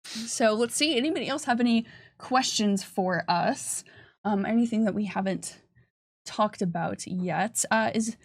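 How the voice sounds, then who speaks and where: A woman's voice: mid-range voice, a little slow. A woman presenting a casual livestream Q&A as a game developer, chatty and upbeat.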